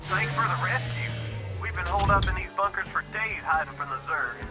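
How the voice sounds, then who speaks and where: A keyed-up militia trooper in a video game. His voice is very high for a man, urgent and strained. Very loud music surrounds it. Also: lively intonation, fairly quick. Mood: relieved, urgent.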